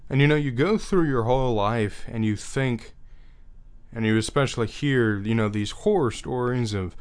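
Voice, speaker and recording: A young man talking earnestly in a reflective personal monologue, unhurried and thinking aloud as he goes. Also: mid-range voice, clean tone. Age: young adult.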